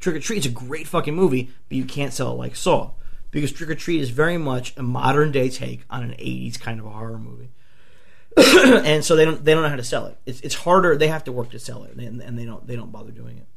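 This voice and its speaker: A man on a podcast, hoarse from a flu, talking excitedly and swearing often as he raves about movies. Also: medium pitch, fast. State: a few bourbons in. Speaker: podcast host. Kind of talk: casual movie talk.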